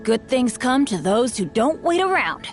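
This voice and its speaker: A woman's voice: fairly high pitch, sing-song, unhurried, faint music behind. A woman playing an upbeat, earnest young adventurer who is hapless but cheerful, in a video game. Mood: wry, self-deprecating. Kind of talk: English video game voice acting.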